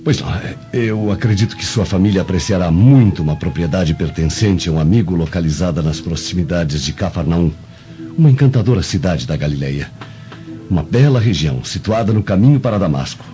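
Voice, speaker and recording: A deferential, persuasive Roman guardsman in a radio drama. His voice is very low and mellow, his manner formal and obliging. Soft music runs underneath. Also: lively intonation, brisk, dull audio. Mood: smoothly persuasive.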